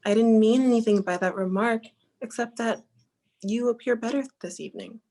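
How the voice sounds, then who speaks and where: A woman playing Helen, a gentle companion, soothing and earnest in a 1940s-style radio drama. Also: a little high, moderate pace. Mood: reassuring. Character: Helen, gentle, reassuring companion of troubled musician.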